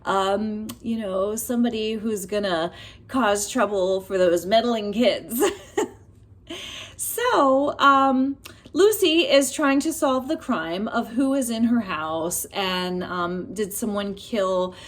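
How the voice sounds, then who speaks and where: A woman talking casually and expressively about books and telling personal stories, often pausing mid-thought as a vlogger does. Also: mid-range voice. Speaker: book reviewer vlogger. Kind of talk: casual chatty book review and personal storytelling.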